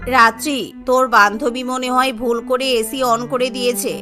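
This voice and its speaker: A snobbish, wealthy middle-aged mother from a story, put on by a female narrator. Her voice is bright and pitched down, disapproving and haughty, over faint music. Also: level tone, normal speed. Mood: annoyed, contemptuous.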